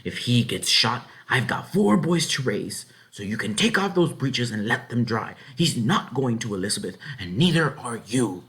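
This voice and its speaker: A man's voice: mid-range voice, sing-song, moderate pace, hardly any pauses, fairly bright tone. A man voicing a stern, exasperated farmer's wife with a commanding, exclaiming manner in a read-aloud story. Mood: angry.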